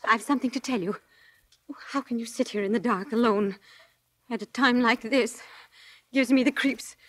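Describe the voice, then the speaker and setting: A woman's voice: fairly high pitch, moderate pace, slight background noise, close to an everyday voice. An actress playing an anxious, devoted woman who looks after a lodger, earnest and urgent, in 1940s radio drama style. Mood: concerned.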